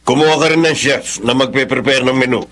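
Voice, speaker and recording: A man's voice: medium pitch, a little fast, a bit bright, slight background noise, close to an everyday voice. A man acts a stern older father from a wealthy Filipino household in a radio drama. He speaks with authority and a Filipino accent, slipping into English as he goes. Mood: commanding. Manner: commanding, code-switches into English. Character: stern, domineering wealthy father, socially jovial.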